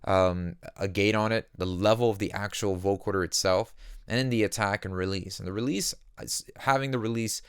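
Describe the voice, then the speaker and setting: Male tutorial host, smooth lowish voice, plain explanatory delivery, very dry close recording. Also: steady tone, brisk, no echo. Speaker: music production instructor. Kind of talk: conversational software tutorial.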